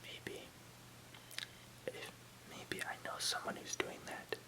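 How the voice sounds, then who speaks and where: Hushed, fully whispered ASMR reader. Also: slow. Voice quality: unvoiced, breathy whisper. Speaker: ASMR channel host. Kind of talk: whispered ASMR reading of an encyclopedia article with casual asides.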